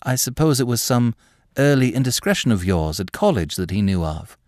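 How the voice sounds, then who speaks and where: A man voicing a calm, sympathetic lady who questions politely and gently in a story. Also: medium pitch, brisk, no echo, studio quality, voice pushed up, natural voice. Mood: coaxing.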